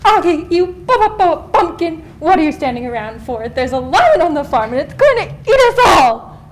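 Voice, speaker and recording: A fretful farm hen in a children's puppet show. The voice is high and very animated, full of dramatic scolding. She trips and stutters over her b sounds. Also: moderate pace, a little hiss or hum. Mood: alarmed.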